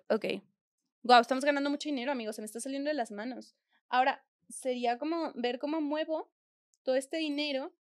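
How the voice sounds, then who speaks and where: Young Mexican woman, game streamer, high voice, slangy, chatty, dry close sound. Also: no room sound. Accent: Mexican Spanish. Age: young adult. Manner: chatty, slangy, thinks aloud while playing. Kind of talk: casual gameplay commentary. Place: small indoor room at home.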